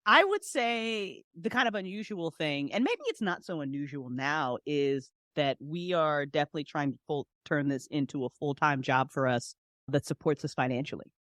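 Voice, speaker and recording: A woman's voice: sits low, expressive, normal speed, fairly bright tone, no room sound, studio quality. A woman speaking as a podcast guest in casual, passionate interview talk, emphatic and repeating phrases for stress. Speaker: podcast guest, audio drama writer and director.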